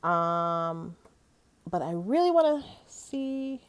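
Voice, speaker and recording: A woman's voice: on the low side, sing-song, slow pace, dry recording. A woman hosting a commentary channel, chatting casually and informally, rambling along as she speaks directly to her viewers.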